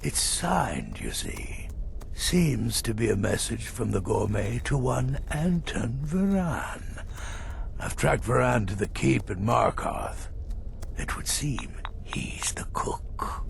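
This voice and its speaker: Creaky, crotchety old assassin. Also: male voice, mid-range voice, wildly swooping pitch, slight background noise, heavily acted. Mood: sly, relishing. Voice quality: gravelly, creaky. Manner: theatrical, condescending, drawn-out delivery. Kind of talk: video game character acting.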